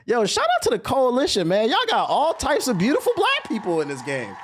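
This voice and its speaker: An American man reacts casually on a live stream. His voice is animated and swings widely in pitch, and he talks in loose, sweary slang. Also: a little fast, slightly rough. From US East Coast. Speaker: streamer reacting to game trailers.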